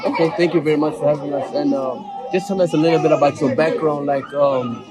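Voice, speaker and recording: A man's voice: a little high, fairly quick. A young man from the United States, politely and deferentially conducting an informal church interview. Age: young adult. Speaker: interviewer, church ministry host. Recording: voices of a crowd in the background.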